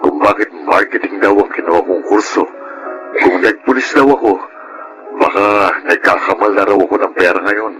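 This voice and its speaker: A man playing a cautious, dutiful young adult son, measured and sincere in a Filipino radio drama. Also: sits low, over music, natural voice, low-quality recording. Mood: cautious, advising.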